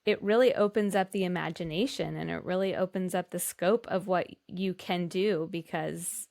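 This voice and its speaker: A woman's voice: fairly low pitch, normal speed, creaky, darkish tone. A woman co-hosting a podcast, talking in a casual, enthusiastic and effusive way. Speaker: podcast co-host. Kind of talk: casual conversational discussion.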